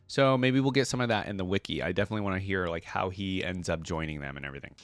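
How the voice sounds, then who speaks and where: A man's voice: deep voice, racing, no echo. A man hosting a reaction video, talking casually and off the cuff in informal, slangy language as he thinks aloud.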